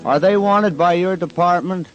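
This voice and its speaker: An actor playing an official who delivers a clipped police message in an old-time radio crime show. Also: male voice, sits high, normal speed, lightly acted. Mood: businesslike. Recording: dull old radio, loud music behind.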